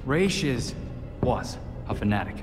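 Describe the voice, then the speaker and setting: An actor playing a cautious young male vigilante who explains things in a measured way. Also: mid-range voice, animated, close to an everyday voice. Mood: serious. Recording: reverb with music score.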